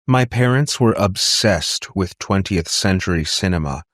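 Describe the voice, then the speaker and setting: A man's voice: deep voice, animated, unhurried, fairly bright tone, no room sound, clean studio sound, heavily acted. A man voicing a conciliatory aunt in an audiobook, tentative and anxious to connect. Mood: trying casual. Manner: tentative, placating. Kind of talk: audiobook character dialogue.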